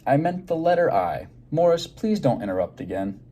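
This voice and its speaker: A man's voice: fairly high pitch, big pitch swings, moderate pace, voice pushed up. Man reading as patient, firm storybook schoolteacher lady. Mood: firm, correcting. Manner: clear instructing, gently correcting. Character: Miss Fine, patient, firm woman schoolteacher.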